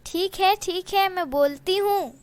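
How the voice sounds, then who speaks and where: A woman's voice: very high voice, unhurried, no room sound, clean studio sound. A woman voicing an eager, agreeable female cat in a cartoon story. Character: meek talking cat, female.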